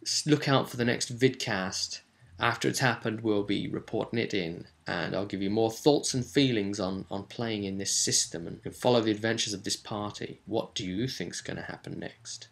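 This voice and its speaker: Bright, forceful man, lowish voice. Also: normal speed, vocal fry. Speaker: game master and vidcast host. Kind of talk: informal recap of a tabletop role-playing game.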